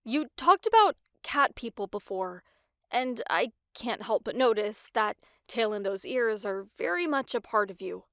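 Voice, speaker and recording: A woman voicing a curious, excitable, nerdy teenage boy in a comic dub, eager and inquisitive. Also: on the high side, a little slow, dry recording, studio quality, heavily acted. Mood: probing, suspicious.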